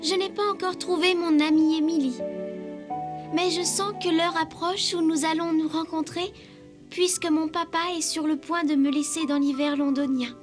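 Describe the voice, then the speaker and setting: A woman's voice: extremely high pitch, steady tone, moderate pace, over music. A woman voicing a sweet, dutiful little girl in a dubbed cartoon, polite and earnest. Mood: wistful narrating.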